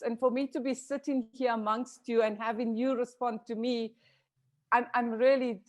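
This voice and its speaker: A woman from South Africa answering questions on a video call in an earnest, grateful way, with frequent restarts. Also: on the high side. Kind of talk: reflective panel discussion.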